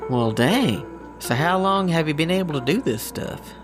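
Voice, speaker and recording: Man playing a folksy Southern guy, lively swooping delivery. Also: on the high side, soft background music, close to an everyday voice. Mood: curious, teasing. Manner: folksy, drawn-out, excitable storytelling. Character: goofy, good-natured folksy country man, chatty secret agent. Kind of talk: scripted audio drama dialogue.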